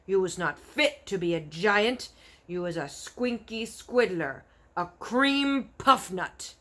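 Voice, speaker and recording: A woman's voice: medium pitch, animated, slow pace, pushed and bright. A woman voicing a brutish man-eating male giant in a storybook, roaring and gloating in mangled grammar. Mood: furious, insulting.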